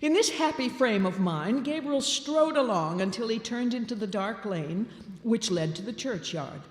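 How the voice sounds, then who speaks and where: A woman giving a slow, expressive dramatic reading of a classic story at a live show. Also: a little high. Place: large town hall stage, live show. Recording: roomy hall reverb.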